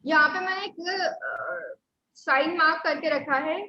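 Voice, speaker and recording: A woman's voice: very high voice, slow pace, creaky, echoey room, highs cut off. A woman teaching a live online class on acupressure therapy, explaining steps patiently and repeating key points. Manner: patient, repetitive step-by-step instruction. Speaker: Sujok therapy instructor.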